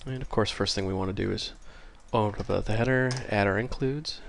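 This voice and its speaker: A man with a fairly low, slightly congested voice hosts a casual programming tutorial. He talks informally and apologetically, thinking aloud as he works. Typing and mouse clicks are audible behind him. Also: fairly quick. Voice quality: slightly congested, stuffy nose. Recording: clear keyboard and mouse clicking noise.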